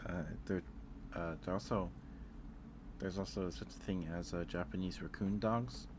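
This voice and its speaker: A soft-spoken man hosting a casual ASMR stream, chatting with viewers and musing aloud in a hesitant way. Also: low-pitched, steady tone, moderate pace, noisy background.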